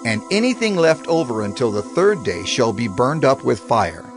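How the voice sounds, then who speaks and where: Male narrator with lively delivery. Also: mid-range voice, normal speed. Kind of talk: dramatized scripture narration. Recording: music bed under the voice.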